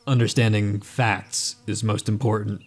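A man's voice: lowish voice, level tone, a little slow, natural voice. An actor playing an eccentric, boastful inventor in his thirties, glib and theatrical, in an audio drama. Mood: wry, needling. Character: eccentric thirty-five-year-old male inventor, mad scientist, boastful.

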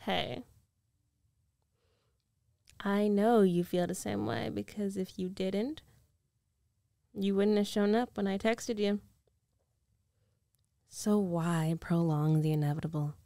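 A woman acts out a domineering, wealthy young ex-girlfriend in an ASMR roleplay. She speaks in a medium-pitched voice, coaxing and condescending, sure of getting what she wants. The sound is intimate and dry, close to the mic. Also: gravelly, warm tone, no echo. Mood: possessive, insistent. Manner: slow, coaxing, self-assured, condescending. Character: rich, domineering, possessive young ex-girlfriend coaxing her lover back. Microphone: close microphone, dry.

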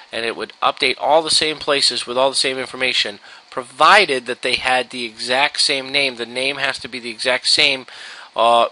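An American man walks through software as a trainer would, step by step. His voice is middling in pitch with a very bright, forceful edge. The delivery is casual and instructional. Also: low-quality recording. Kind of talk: informal screen-share tutorial. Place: indoors, at a computer.

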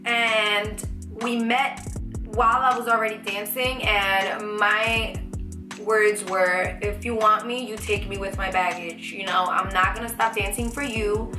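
A young woman in her twenties, chatty and animated, answering viewer questions in a casual vlog from a small bedroom. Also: mid-range voice, measured pace, roomy sound, over music. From United States. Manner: chatty, animated, lots of fillers and restarts. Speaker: vlogger. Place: small bedroom with shelves, indoors.

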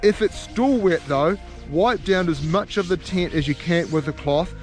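A man's voice: fairly high pitch, moderate pace, soft background music. A man, giving encouraging camping tips to camera outdoors by his tent. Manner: upbeat, encouraging, direct to camera. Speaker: outdoor channel host. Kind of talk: instructional outdoor vlog. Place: outdoors on a mountain meadow by a tent. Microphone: camera at arm's length.

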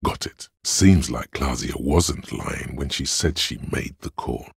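Gravelly, extremely deep voice of logic. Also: male voice, slow, no echo, clean studio sound, exaggerated voice. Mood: analytical, composed. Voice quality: gravelly, husky bass. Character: cool-headed, analytical inner voice of logic, male. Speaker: voice actor. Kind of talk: scripted game narration as an inner voice.

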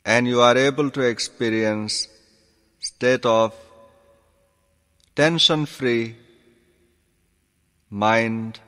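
A man teaching yoga through guided meditation, calm and deliberate, giving instructions in short measured phrases. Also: mid-range voice, clean tone, faint music behind. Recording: long reverberant hall sound.

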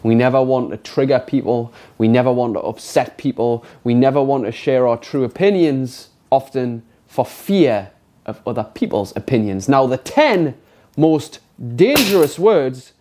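A man's voice: medium pitch, expressive, moderate pace, dark tone. A man in his thirties giving an unscripted, motivational talk to the camera with a congested, stuffy nose, speaking frankly and emphatically and swearing often. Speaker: motivational vlogger. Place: indoor studio with brick wall.

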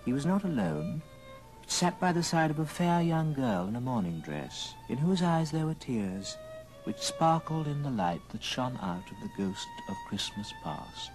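A man's voice: a little high, huge pitch swings, normal speed, rough recording. A man narrating a classic story in a dramatic old radio style. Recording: old record with music underneath. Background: music.

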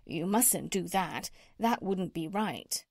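A woman voicing a kindly, honest and curious gentleman doctor in a children's storybook, polite and earnest. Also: lowish voice, lively intonation, slow, dry recording, clean studio sound, exaggerated voice. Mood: gently objecting. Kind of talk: children's storybook character reading.

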